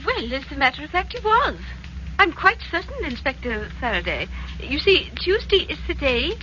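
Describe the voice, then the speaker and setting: A woman's voice: high-pitched, big pitch swings, moderate pace, pushed and bright, a little hiss or hum, full character voice. A woman playing a polite, composed older aunt in 1940s radio drama style. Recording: muffled 1940s radio recording with music.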